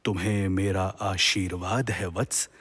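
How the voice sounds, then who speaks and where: Man playing a slow, low-voiced serene god. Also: steady tone, fairly bright tone, slightly rough, exaggerated voice. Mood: approving, prophetic. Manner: solemn, measured, formal classical diction. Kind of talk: Hindi mythological audio drama.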